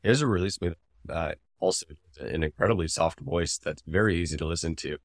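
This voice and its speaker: A man with a notably low voice co-hosts a podcast. He talks casually and analytically, explaining his view in a conversational way. The sound is dry, with little room on it. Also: fairly quick, dry recording. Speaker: podcast co-host.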